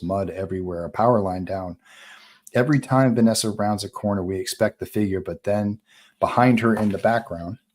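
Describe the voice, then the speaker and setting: A man's voice: lowish voice, darkish tone. A man narrating stage directions from a film script at a live table read.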